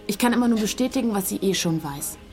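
Love interest female actor: restless young woman, medium pitch, natural radio-play dialogue over distinct music. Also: animated, fast, a little mellow, natural voice. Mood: offhand. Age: young adult.

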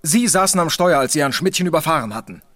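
An actor playing a sceptical police officer in a German radio crime drama, interrogating in a deliberate, insistent way. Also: male voice, a little high, expressive, a bit bright, no room sound, studio quality. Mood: pressing, sceptical.

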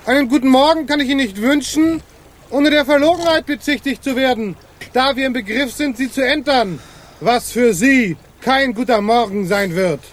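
An actor playing a blunt, threatening pirate in a radio drama, declaiming in stilted formal sentences. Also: male voice, very high voice, moderate pace. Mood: formal menace. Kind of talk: German radio drama acting.

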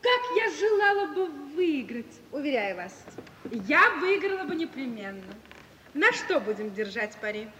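Very high-voiced, excitable capital lady. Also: normal speed, big-room sound, dull audio. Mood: determined. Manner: eager, exclamatory, prodding with questions. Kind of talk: 1950s Russian radio stage-comedy acting. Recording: distant, hally, noisy 1950s radio recording.

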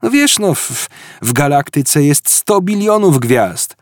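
A man's voice: on the high side, sing-song, takes it slowly, no echo, studio quality, voice pushed up. A man reading an audiobook, voicing an offhand, evasive researcher of the galaxy who hedges with fillers. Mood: sheepish. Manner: hedging, sheepish, with fillers.

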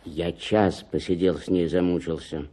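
A man's voice: low-pitched, fairly even intonation, normal speed, warm tone. An actor playing an exasperated, scornful man in a radio play, with a sighing, mocking manner. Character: exasperated, scornful male visitor.

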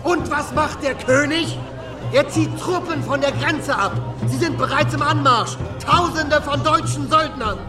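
Radio drama: agitated news-crier, very high voice, calling out over crowd and music. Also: male voice, a little slow. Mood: excited alarm. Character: agitated patriot crier spreading alarming news. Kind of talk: German radio play crowd scene. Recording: busy crowd and loud music behind.

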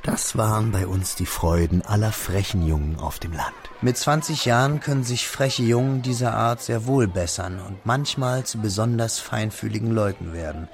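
A man playing a reflective young man who narrates his melancholy past in measured, literary radio drama style. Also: fairly low pitch, a little hiss or hum, lightly acted. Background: music.

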